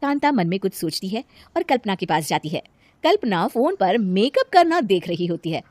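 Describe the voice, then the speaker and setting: A woman narrating a scripted animated moral tale as a storyteller. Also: medium pitch, moderate pace, dry recording.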